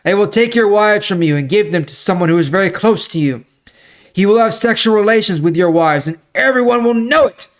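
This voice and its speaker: A man acts out a stern prophet in a dramatic scripture reading. The voice is raised and forceful, declaiming accusations and pronouncing divine judgment with weight. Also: high-pitched, animated, fairly quick, pitched above the performer's own voice. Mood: stern, accusing. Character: stern male prophet delivering God's angry judgment.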